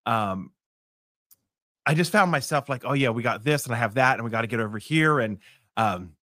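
A man's voice: medium pitch, expressive, normal speed, pushed and bright, no echo, clean studio sound. A middle-aged man with a cold hosting a casual morning live stream, rambling with many restarts and fillers. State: congested with a cold.

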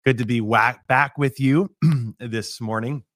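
A man's voice: medium pitch, fairly even intonation, slow pace, fairly bright tone, no room sound, clean studio sound. A middle-aged man with a cold hosting a casual morning live stream, rambling with many restarts and fillers. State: congested with a cold.

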